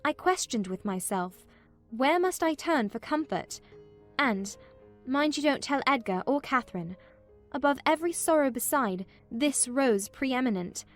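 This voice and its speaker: Woman as a high-voiced, plaintive young gentlewoman. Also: animated, measured pace, faint music behind. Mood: forlorn. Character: despairing, proud, weary young gentlewoman. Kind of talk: dramatized audiobook narration of a classic novel, in first person.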